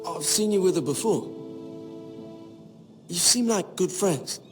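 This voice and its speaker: Humble, high-voiced young servant lad. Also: male voice, animated, unhurried, big-room sound. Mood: confiding. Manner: hesitant, apologetic, polite. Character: young servant coal boy, humble, deferential, inquisitive. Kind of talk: dramatic video game acting. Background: music.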